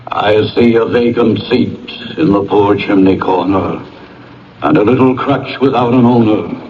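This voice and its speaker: Man acting slow, solemn Christmas spirit. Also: fairly low pitch, lightly acted. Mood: grave, rebuking. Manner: slow, grave, admonishing. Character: solemn, stern Ghost of Christmas Present, grown male spirit. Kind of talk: old-time radio drama acting. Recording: muffled vintage radio broadcast, faint noise.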